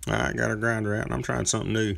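A man's voice: on the low side, level tone, racing, vocal fry, a bit bright. A man in his thirties, a chainsaw carver, talking through his outdoor work in a laid-back, folksy, rambling way. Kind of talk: casual workshop narration. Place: outdoor driveway workspace.

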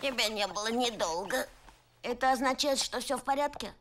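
A woman's voice: a little high, fairly quick, a bit bright, slight background noise, close to an everyday voice. An actress playing a cheeky little boy dragon in a dubbed cartoon, with a casual, childlike manner. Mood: sleepy.

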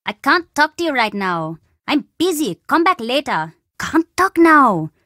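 Mischievous little boy for a video game: chatty, cheeky, animated delivery, dry close sound. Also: sits high, unhurried, dry recording, full character voice. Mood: dismissive. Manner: cheeky, dramatic, childlike bluster. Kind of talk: video game character dialogue.

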